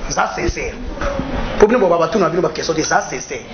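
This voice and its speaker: A young Congolese man argues casually and forcefully, repeating his points. His high voice swings widely in pitch. The sound is roomy, in a casual interview setting. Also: animated, quick pace, gravelly, muffled recording, background noise. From Democratic Republic of the Congo. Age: young adult. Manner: emphatic, repetitive, argumentative. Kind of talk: animated casual debate.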